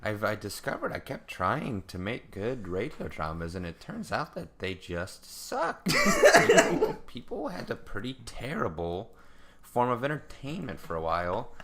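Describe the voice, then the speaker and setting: A man's voice: on the low side, big pitch swings, a little slow, fairly bright tone, a little hiss or hum. A young man chatting casually as a podcast co-host, jokey, informal and self-deprecating. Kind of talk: casual comedic chat.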